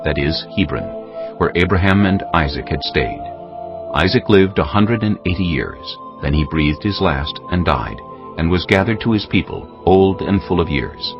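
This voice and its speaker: Deep, expressive male scripture narrator. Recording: dull, muffled audio under music. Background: music.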